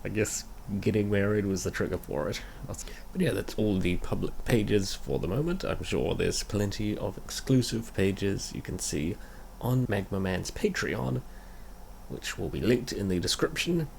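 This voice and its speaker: Man, low-pitched, creaky voice, casual comic narrator, lively intonation, slight background noise. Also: measured pace. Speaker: comic dub reader and channel host. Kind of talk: casual video intro and scripted first-person narration.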